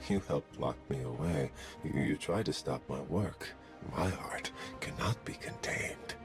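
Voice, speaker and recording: An obsessive, sinister game villain, savors his menace with a performer's flair. The voice is extremely deep, over clearly heard music. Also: lively intonation, measured pace, full character voice. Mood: sinister, brooding. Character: sinister, obsessive theatrical male killer. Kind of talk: video game villain voice lines.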